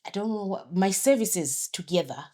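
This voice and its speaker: A woman's voice: medium pitch, lively intonation, normal speed, darkish tone. A middle-aged woman from Zimbabwe hosting a solo podcast, chatty and promotional, often correcting herself as she talks. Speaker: podcast host.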